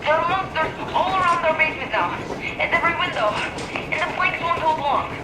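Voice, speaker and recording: A woman's voice: extremely high pitch, lively intonation, brisk. A woman playing a frightened young girl in a video game, pleading for rescue over a radio. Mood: desperate pleading. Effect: radio transmission. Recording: noisy, echoey, distant radio distress call.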